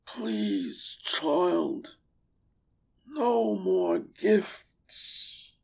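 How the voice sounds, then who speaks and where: A woman voicing a weary, proud old camel in a storybook, groaning with self-pity in a drawn-out, dramatic way. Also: lowish voice, very animated, vocal fry, a little mellow, husky, muffled recording, heavily acted. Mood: weary, pleading. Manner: slow, drawn-out, dramatic delivery.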